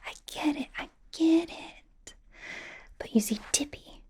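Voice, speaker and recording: Casting: woman to play chatty young superheroine interrogator for ASMR roleplay, high but soft and mellow, mostly whispered, playfully suspicious and rambling. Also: slow pace. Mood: apologetic, rambling.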